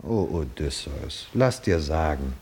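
An actor playing a solemn, nearly blind poet-seer in a 1950s German radio drama, admonishing a hero in a deliberate, oracular way. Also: male voice, extremely low pitch, animated, a little mellow, husky, full character voice. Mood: insistent, reproachful.

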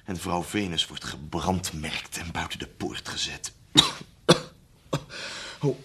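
Een hese, zieke dichter. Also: male voice, sits low, exaggerated voice. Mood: bitter, feverish. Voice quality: breathy, raspy. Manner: hesitant, halting, speaks softly. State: hoarse, coughing, voice failing. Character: sick, despondent grown male poet. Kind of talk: 1970s radio drama acting.

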